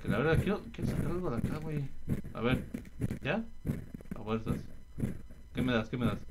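A man's voice: mid-range voice, expressive, fairly quick, very noisy. A man with a Mexican accent giving casual gameplay commentary, slangy and full of swearing. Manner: slangy and profane. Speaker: gaming video host. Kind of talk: casual gameplay commentary, reading subtitles aloud.

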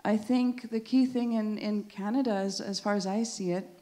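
A woman's voice: medium pitch, steady tone, moderate pace, warm tone. Earnest middle-aged woman panelist. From Netherlands. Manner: thoughtful, earnest, with light laughs. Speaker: panel speaker. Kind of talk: answering audience question at a panel.

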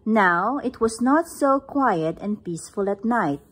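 A woman's voice: mid-range voice, animated, measured pace, slightly rough. A woman reading a picture book aloud at home, recorded on a phone camera above the book. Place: indoors, at home.

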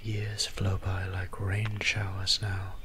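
A man playing a soft-spoken, melancholy vampire priest in an ASMR roleplay, musing in formal, old-fashioned words with a breathy near-whisper. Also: sits low, monotone, slow pace, noisy background. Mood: brooding, self-reproaching. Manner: formal, archaic, musing diction.

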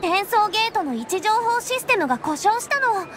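A woman voicing a chatty, eager teenage girl in a Japanese anime game, lively and friendly. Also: very high voice, a little fast, background noise. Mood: earnest.

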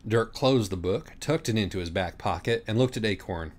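A man's voice: lowish voice, normal speed, a little mellow. A man reading a parody audiobook as a dramatic narrator, with a theatrical, sardonic manner.

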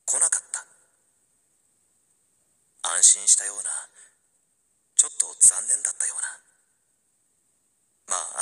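A man playing a wistful young man pining for an old love, narrating a radio drama in a hushed, introspective way. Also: on the low side, full character voice. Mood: wistful, reflective.

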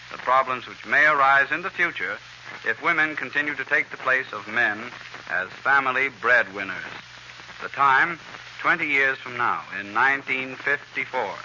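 A man making a formal, measured introduction as a 1930s radio announcer. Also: mid-range voice, bright, forceful tone. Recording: muffled, hissy 1930s radio broadcast.

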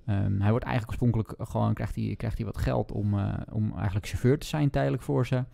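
A man chatting casually about books as a podcast host, speaking haltingly and hedging as he thinks aloud. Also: on the low side, steady tone, creaky, warm tone, no echo. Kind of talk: casual book discussion.

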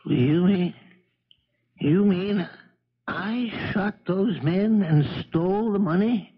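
An actor playing an old, folksy, honest and bewildered charter boat captain in a 1970s radio drama. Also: male voice, sits high, slow pace, husky, exaggerated voice. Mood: shaken, dejected. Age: older. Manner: folksy, old-fashioned exclamations. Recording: dull vintage radio recording.

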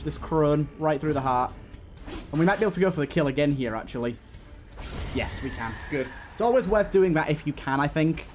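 A man with a slightly high voice narrates his video game play casually. He thinks out loud and speaks to the characters as he goes, with music playing behind him. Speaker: gaming video host. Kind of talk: casual gameplay commentary.

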